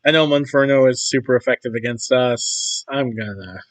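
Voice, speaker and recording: A man with a hoarse, worn-out voice talks through a video game session at an ordinary mid pitch. He rambles from one thought to the next and keeps clearing his throat. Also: normal speed. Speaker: gaming YouTuber. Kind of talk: casual commentary over gameplay.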